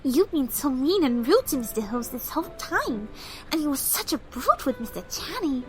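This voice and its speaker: A woman voicing a shy, gentle cartoon pony mare who speaks for animals, soft, polite and hesitant. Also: high-pitched, big pitch swings, moderate pace, soft background music, rough recording. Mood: hurt, scolding. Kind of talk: cartoon fan audio drama acting.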